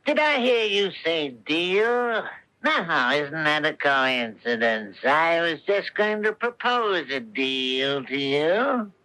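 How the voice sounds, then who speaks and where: An actor playing a sly, flattering swindler fox with oily sales talk in a radio drama. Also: male voice, on the high side, slow, exaggerated voice, rough recording. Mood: scheming. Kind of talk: old-time radio drama acting.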